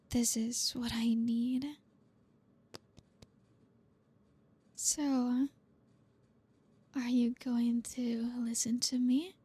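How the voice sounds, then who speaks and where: A woman playing a flirty, dominant boss in an ASMR roleplay, teasing and commanding in a soft, deliberate, often whispered voice. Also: fairly high pitch, husky, no echo, full character voice. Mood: firm, commanding.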